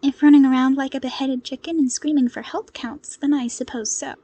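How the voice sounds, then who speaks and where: A woman voicing a cheerful, modest young hero strategist in an audio story, with an earnest, gently exasperated manner. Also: high-pitched, fairly even intonation, fairly quick, natural voice. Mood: amused, exasperated.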